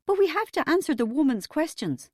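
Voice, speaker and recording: Woman acting middle-aged mum, audiobook dialogue, anxious, put-upon, high lively pitch, shaky false brightness. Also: normal speed, no echo, clean studio sound. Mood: anxious, pleading. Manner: shaky, fake-upbeat, exclamatory.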